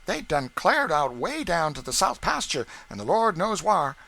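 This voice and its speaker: A man's voice: on the high side, big pitch swings, normal speed, vocal fry, sharp tone, pitched above the performer's own voice, heavily acted. A man voicing a sly, cheerful young stable hand in an audiobook, with a broad rural dialect and comic exclamations. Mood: sly, exclaiming. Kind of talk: audiobook character voice.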